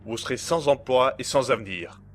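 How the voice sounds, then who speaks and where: A man playing a cold, menacing blackmailer in an audio drama, speaking in a smooth, condescending and formal way. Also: mid-range voice, normal speed, a bit bright, natural voice. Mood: coldly threatening. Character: cold, menacing male blackmailer and hacker, smugly in control. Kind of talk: amateur audio drama acting.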